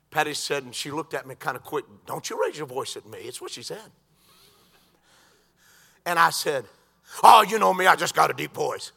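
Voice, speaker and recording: A man preaching a sermon in a church, swinging from quiet talk to shouted crescendos. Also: fairly high pitch, creaky.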